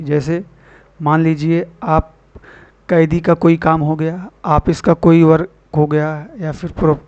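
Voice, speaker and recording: A man speaks in a fairly high register, explaining things informally like an online tutor. He repeats and rewords important points and addresses students as friends. The sound is somewhat muffled and low in fidelity. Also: darkish tone. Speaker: online exam-prep teacher and channel host. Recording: dull lo-fi voice recording.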